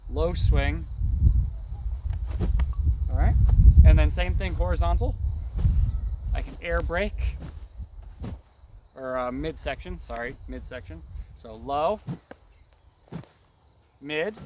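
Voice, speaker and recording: A man's voice: fairly high pitch, slow pace, long pauses, background noise. A man giving hands-on martial arts instruction in short, clipped phrases, often adding brief check-in tags. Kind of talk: hands-on technique demonstration, instructional.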